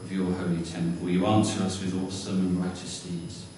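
Male reader, very deep and monotone, solemn, distant church reverb. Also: fairly quick, slight background noise. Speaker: scripture reader. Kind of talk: psalm reading. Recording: distant mic in echoey church.

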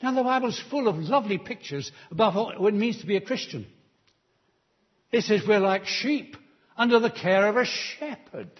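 A man preaching a sermon in a church, delivering slow, emphatic appeals to the congregation. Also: high-pitched, animated, husky, muffled recording.

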